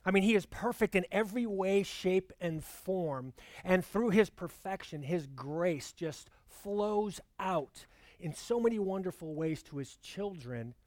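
A man preaching a sermon and reading scripture aloud in an earnest, measured way, slowing down on key words. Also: high voice, expressive, a little mellow, no room sound.

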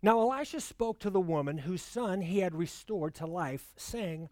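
A man preaching a sermon and reading scripture aloud in an earnest, measured way, slowing down on key words. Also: high voice, animated, a little mellow, no echo.

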